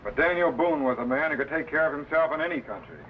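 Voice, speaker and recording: A fatherly, authoritative captain played by a man in a vintage sci-fi serial, with a slightly high male voice. The sound is dull, with faint noise. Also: animated, fast, lightly acted. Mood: instructive. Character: authoritative, fatherly time-machine captain, instructive and protective. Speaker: actor playing the time-travel captain. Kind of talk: old-time sci-fi adventure drama acting.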